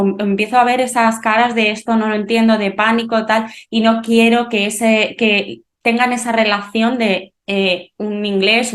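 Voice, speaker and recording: A woman's voice: mid-range voice, echoey room. A woman from Madrid with a Madrid Spanish accent, an English teacher telling classroom stories as a podcast guest, often restarting her sentences. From Madrid, Spain. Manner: animated storyteller with frequent restarts. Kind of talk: casual podcast conversation.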